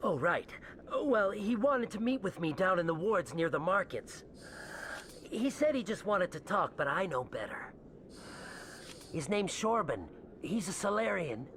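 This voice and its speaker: A man's voice: sits high, sing-song, a little slow, a bit bright, slight background noise, full character voice. An actor voicing an anxious, scheming alien man in a video game, agitated and pleading. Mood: pleading, wheedling. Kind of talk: video game character acting.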